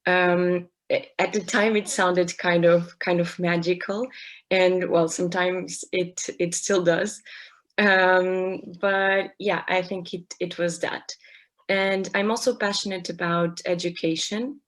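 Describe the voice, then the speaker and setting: A young woman speaking as a guest on a podcast, talking about her work in health education with many pauses, fillers and restarts. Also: lowish voice, fairly even intonation. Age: young adult. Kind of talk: casual interview talk.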